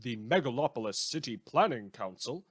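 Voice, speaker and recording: A man's voice: mid-range voice, animated, moderate pace, breathy edge. A man playing a sardonic radio newsreader in a post-apocalyptic world, reading scripted satirical news with dry, rambling asides. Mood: dry, deadpan. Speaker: radio host.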